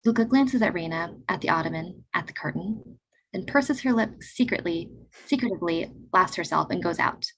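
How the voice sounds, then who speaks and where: A woman reading stage directions aloud in a play reading over a video call, in a brisk, even narrating manner. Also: lowish voice, a little hiss or hum, low-quality recording.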